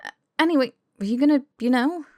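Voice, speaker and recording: A woman's voice: high voice, expressive, fast talker, no room sound, clean studio sound, pitched above the performer's own voice. A woman voicing a casual young man, wry and easily flustered, protesting informally in an audiobook. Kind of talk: audiobook character dialogue.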